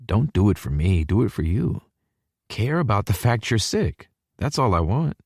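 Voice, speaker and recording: A man's voice: fairly low pitch, sing-song, normal speed, vocal fry, a little mellow, breathy edge, no echo, studio quality, voice pushed up, exaggerated voice. A man voicing a blunt but caring young college man who teases his girlfriend, in an audiobook. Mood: earnest, worried.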